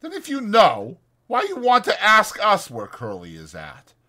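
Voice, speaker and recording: Worked up old farmhand, high forceful voice, country drawl, stubborn dreamer type, audiobook. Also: male voice, wildly swooping pitch, unhurried, full character voice. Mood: sour, scolding. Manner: rustic, ungrammatical drawl, indignant and insistent. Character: old crippled swamper man, stubborn, excitable dreamer. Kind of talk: dramatized audiobook character voice.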